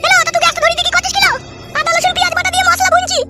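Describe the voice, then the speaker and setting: A woman voicing a squeaky, shrill, foul-mouthed cartoon wife who quarrels and taunts. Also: little pitch movement, rapid-fire, music in the background. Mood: scolding, combative. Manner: combative, taunting.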